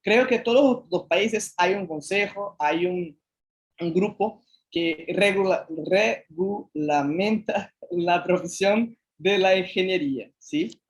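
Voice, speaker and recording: A Brazilian man, a native Portuguese speaker, giving an online lecture on environmental engineering in careful, hesitant second-language Spanish. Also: high voice.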